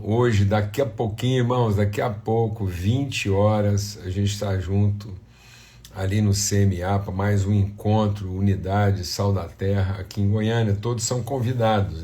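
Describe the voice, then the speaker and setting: Low, creaky Brazilian man, slightly hoarse. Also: warm tone. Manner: conversational, addressing viewers as family and brothers. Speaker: pastor hosting a devotional livestream. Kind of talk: informal devotional talk with prayer.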